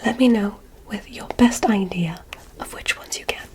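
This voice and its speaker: A woman voicing a curious, polite alien examiner in a whispered ASMR roleplay, speaking in a soft, deliberate, clinical manner. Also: medium pitch, lightly acted. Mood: curious, gentle.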